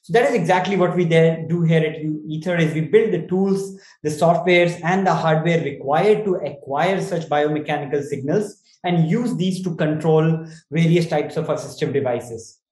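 Man in his twenties, Indian accent, slightly high voice, measured explanatory interview answers, echoey video call. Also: a little mellow. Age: 20s. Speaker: startup CEO being interviewed. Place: small indoor room, video call.

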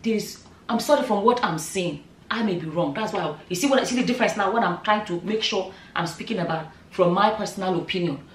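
A woman in her thirties with a Nigerian accent, giving animated opinion commentary straight to camera, full of rhetorical questions. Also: medium pitch, fairly quick, slight background noise. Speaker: vlogger. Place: bright room indoors, seated in chair. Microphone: far camera. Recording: roomy echo, mic at a distance.

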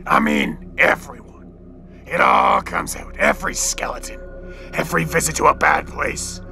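A man playing a taunting, theatrical crime boss villain in a comic book audio drama, gravelly and menacing. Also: fairly high pitch, huge pitch swings, normal speed, sharp tone, faint music behind, full character voice.

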